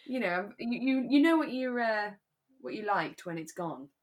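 A woman's voice: medium pitch, expressive, normal speed, lo-fi sound. A woman co-hosting a podcast, chatting casually and reflectively about a radio drama, often seeking agreement. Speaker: podcast co-host.

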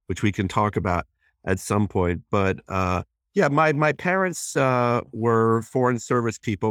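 A man's voice: lowish voice, fairly bright tone, no echo, clean studio sound. A man in his sixties, a guest on a podcast, giving thoughtful conversational answers with frequent fillers and self-corrections. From born in Hong Kong, raised in US. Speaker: guest, president of a human rights group.

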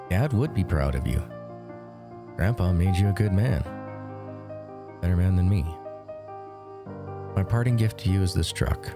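A man performs a remorseful brother voicing his farewell letter in an audio drama. The voice is low, solemn and halting, and music plays clearly behind it.

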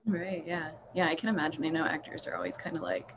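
A woman's voice: low voice, big pitch swings, fast talker. A woman interviewing someone in a casual, chatty style. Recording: clearly noisy background.

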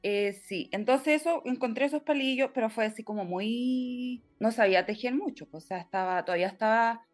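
Guest: middle-aged woman, slightly high, chatty and easygoing. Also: moderate pace, hardly any pauses, faint music behind. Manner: chatty, laughs often, speaks in loose run-on stretches. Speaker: podcast guest. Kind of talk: casual conversational interview.

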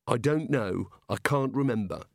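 A man's voice: medium pitch, animated, moderate pace, no echo, studio quality, lightly acted. Man voicing a hapless, exasperated prisoner. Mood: weary, irritated. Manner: fretful, hesitant. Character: hapless, exasperated male fugitive prisoner, anxious and sardonic. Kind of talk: comic audiobook character voice.

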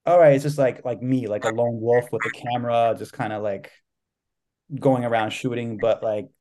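A man's voice: mid-range voice, steady tone, moderate pace, warm tone, slight background noise. A man from New York City hosting a podcast interview, talking loosely and rambling with lots of "like". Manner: loose and rambling, heavy use of "like". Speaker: podcast host. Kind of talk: casual interview chat.

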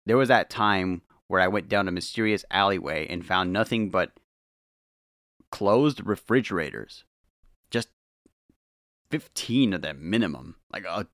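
Male podcast host, lowish pitch, highly expressive intonation, earnest and dramatic, close dry sound. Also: no echo. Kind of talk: solo podcast monologue on the paranormal.

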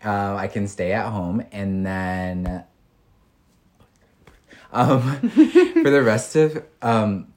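Very deep-voiced young man, rambling. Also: sing-song, extremely slow, long pauses, a little mellow. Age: young adult. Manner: rambling, filler-heavy storytelling. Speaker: podcast co-host. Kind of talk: casual chat.

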